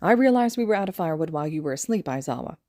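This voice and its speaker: A woman's voice: sits low, expressive, racing, dark tone, dry recording, clean studio sound. A woman voicing an irritated, weary older man, a retired hero, in an audiobook-style story reading, insistent and exasperated.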